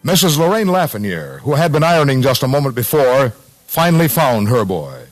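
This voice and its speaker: A man narrates in the solemn style of a 1950s radio documentary. His pitch moves widely over measured, dramatic phrases, with faint music behind him on the vintage broadcast. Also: big pitch swings, rough recording.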